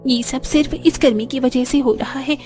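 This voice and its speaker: A weary young cartoon farm wife with an extremely high, soft-toned voice, played by a woman. She laments plaintively about a hard village life, discouraged and worn down, over music. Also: normal speed, natural voice. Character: weary, discouraged young farming daughter-in-law in a poor village.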